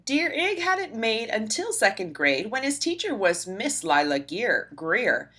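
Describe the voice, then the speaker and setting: A woman narrating a rhyming children's storybook aloud in an expressive, rhythmic, dramatic way. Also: fairly high pitch, measured pace. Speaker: storybook reader.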